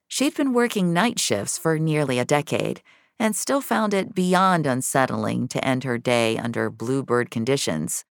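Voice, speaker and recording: A woman narrates scripted fiction in a very deep voice. There is a gravelly creak to it, and the sound is close and dry. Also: no echo.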